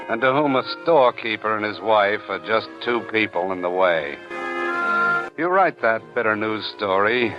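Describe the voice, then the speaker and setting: An actor as a terse, sardonic, hard-boiled narrator of a 1940s radio drama, speaking dramatically. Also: male voice, fairly low pitch, close to an everyday voice. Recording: dull 1940s radio broadcast over music.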